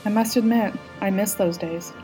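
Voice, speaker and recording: A woman's voice: mid-range voice, fairly even intonation, normal speed, warm tone, faint music behind, natural voice, poor audio. A woman voicing a tipsy, playful, nostalgic female president in a storybook reading. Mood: wistful.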